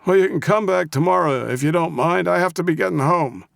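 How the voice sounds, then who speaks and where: A crabby old man, pitched well above the reader's natural voice. He is gruff, defensive and blustering, a weary storybook grouch who wants to be left alone. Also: brisk, no echo, clean studio sound. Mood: grudging, nervous. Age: older.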